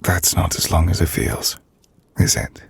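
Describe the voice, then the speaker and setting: A man playing an ancient, brooding vampire lord in an intimate ASMR roleplay, speaking formally and drily in a gravelly, breathy near-whisper. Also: deep voice, no room sound, heavily acted. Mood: gentle, curious. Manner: formal, measured, dry. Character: ancient brooding vampire lord, dryly teasing, courteous host.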